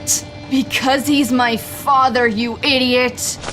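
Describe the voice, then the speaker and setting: A woman playing a defiant, sharp-tongued young boy in a comic book audio drama, speaking curtly. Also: high-pitched, steady tone, slow pace, over loud music. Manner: curt, defiant.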